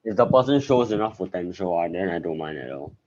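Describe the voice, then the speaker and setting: Deep-voiced male student, dull recording. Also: animated, quick pace, darkish tone. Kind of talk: brief class discussion answers. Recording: dull, slightly noisy call audio.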